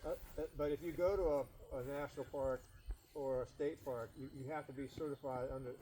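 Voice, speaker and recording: Warm-toned man, hesitant and halting. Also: medium pitch, steady tone, a little hiss or hum, low-quality recording. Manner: hesitant, searches for words. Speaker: chapter member. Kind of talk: group discussion.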